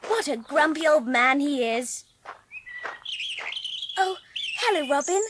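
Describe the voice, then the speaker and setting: High-voiced curious young girl. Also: animated, slow. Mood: delighted. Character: lonely, curious young orphan girl from colonial India. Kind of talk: dramatised audiobook acting. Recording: clearly audible background noise. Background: birdsong.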